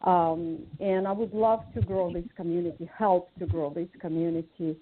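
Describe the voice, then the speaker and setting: A woman's voice: lowish voice, fairly even intonation, moderate pace, a little hiss or hum. Woman on a tinny phone line. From Ukraine. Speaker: guest speaker. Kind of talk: casual personal reflection on a group call. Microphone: phone call.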